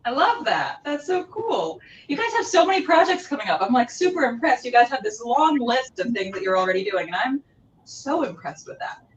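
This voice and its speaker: A woman's voice: on the high side, very animated, a little fast, dull audio. A woman hosting a casual interview, chatting in an informal, effusive way. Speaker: interviewer and show host. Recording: distant mic with background noise.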